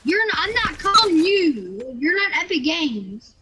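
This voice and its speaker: A woman's voice: very high voice, big pitch swings, a little slow, heavy background noise. A female player in casual game voice chat, giving defiant, childish comebacks.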